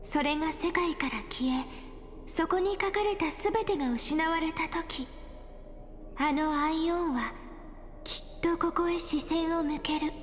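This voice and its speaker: A woman playing a gentle, resolute and wistful young heroine in an anime game. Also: very high voice, brisk. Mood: solemn, resolute. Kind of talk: Japanese anime game voice acting. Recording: long reverb, music under the voice. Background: game music.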